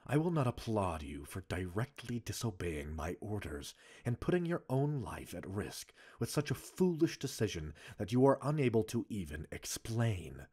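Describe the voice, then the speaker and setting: A man playing a cold, stern military commander in a roleplay audio, giving a formal, measured reprimand in a husky voice. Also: on the low side, sing-song, darkish tone. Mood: controlled anger. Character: stern male military commander, cold, scolding a reckless subordinate. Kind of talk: scripted ASMR roleplay monologue.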